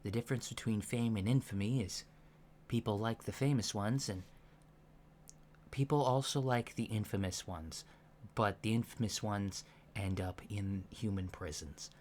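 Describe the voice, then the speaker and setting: A man playing an earnest, matter-of-fact alien instructor in human disguise, speaking softly and deadpan in an ASMR roleplay lecture. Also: lowish voice, moderate pace, lightly acted. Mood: calm, instructive. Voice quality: soft, hushed voice. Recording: lo-fi with steady background noise.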